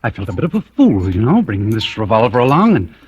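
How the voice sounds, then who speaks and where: An actor playing an earnest, hot-headed upper-class British gentleman in love in an old-time radio thriller, halting and blustering. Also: lowish voice, wildly swooping pitch, slightly rough, highs cut off, full character voice. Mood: threatening. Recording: vintage radio drama recording.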